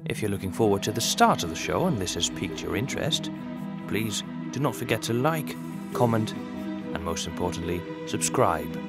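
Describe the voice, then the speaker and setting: A man's voice: lowish voice, sing-song, moderate pace, over loud music. A man hosting an interview, reading out scripted questions. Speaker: interviewer and host.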